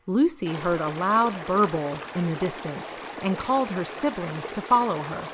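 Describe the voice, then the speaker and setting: A woman reading a story aloud for children as host, expressive and speaking directly to young listeners. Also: on the low side, normal speed, background noise.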